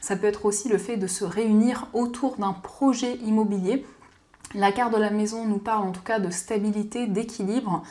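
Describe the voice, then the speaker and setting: A woman giving a gentle, soothing daily card reading, slightly hoarse from a cold. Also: mid-range voice, fairly even intonation, normal speed. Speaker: card reader.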